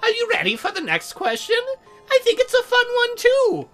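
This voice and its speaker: Man putting on a high-pitched prim demon girl. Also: wildly swooping pitch, normal speed, pushed and bright, faint music behind, full character voice. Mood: pleased. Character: teasing, prim young female demon dealmaker.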